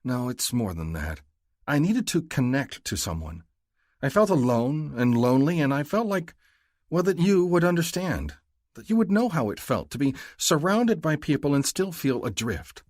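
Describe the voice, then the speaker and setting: A man puts on a young woman's voice, high above his own, swooping with emotion and a little husky. She is earnest and affectionate, her sentences rising and pressing forward. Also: very animated, moderate pace, a little mellow, no room sound, clean studio sound.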